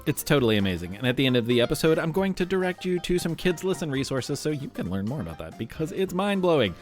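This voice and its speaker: A man narrates for young listeners with highly animated, swooping intonation. His delivery is playful and theatrical, dotted with wry asides, and faint music plays underneath. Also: fast talker. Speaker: podcast host. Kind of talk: scripted narration for a children's history and quiz show.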